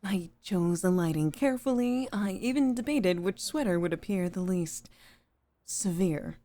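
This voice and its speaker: A woman playing a composed, formal head maid as a devoted girlfriend in an intimate ASMR roleplay, speaking softly and often near a whisper. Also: mid-range voice, lively intonation, natural voice. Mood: affectionate, intimate. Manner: soft, measured, formal, often dropping toward a whisper.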